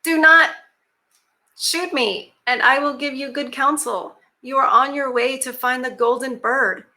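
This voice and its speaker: A woman's voice: on the high side, sharp tone. A woman voicing a talking fox in a fairy tale, speaking in a raised, emphatic, pleading way. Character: talking fox offering urgent advice.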